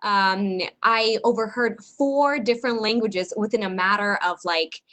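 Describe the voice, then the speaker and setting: A woman's voice: medium pitch, unhurried, fairly bright tone. A young woman from Europe, an exchange coordinator giving an upbeat online info session with many ums and uhs. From Eastern Europe. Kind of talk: online information session presentation.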